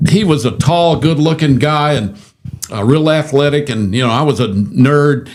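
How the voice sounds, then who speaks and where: A man's voice: a little high, normal speed, a little mellow. A man chatting casually as an interview host, telling stories in a folksy, self-deprecating way. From United States South. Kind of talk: casual chat, storytelling.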